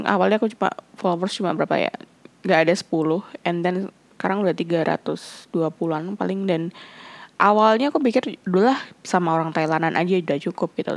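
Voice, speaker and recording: A woman's voice: on the low side, vocal fry, no room sound. A woman from Indonesia in a casual chat, switching between Indonesian and English, hesitant and full of fillers and restarts. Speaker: fan sharing her experience.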